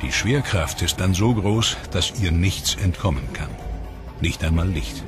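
Deep-voiced male documentary narrator. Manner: measured, explanatory. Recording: voice-over with music bed. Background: clear music.

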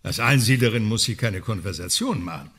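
An actor playing a fussy, droll doctor in a radio crime drama, speaking haltingly in a gravelly, husky voice. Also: male voice, fairly low pitch, expressive, very noisy. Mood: hesitant. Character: hesitant, droll male doctor, fussy card-table companion.

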